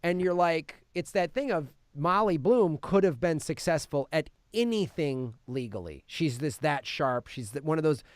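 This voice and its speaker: Higher-voiced man chatting about films. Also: lively intonation, normal speed, hardly any pauses, dry recording, clean studio sound. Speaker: podcast host reviewing films. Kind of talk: casual film review chat. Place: podcast studio.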